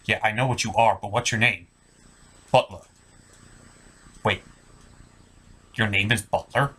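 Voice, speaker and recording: A man's voice: fairly low pitch, steady tone, a little slow, sharp tone, breathy edge, exaggerated voice. A man voicing a puppet butler character in scripted comic banter, formal, exasperated and full of questions. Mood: incredulous, deadpan. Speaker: sidekick in puppet review show.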